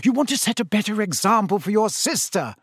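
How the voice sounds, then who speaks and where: A man voicing a brisk, scolding, fussing mother of a big family in a storybook. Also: sits high, very animated, slightly rough, dry recording, clean studio sound, pitched above the performer's own voice, heavily acted.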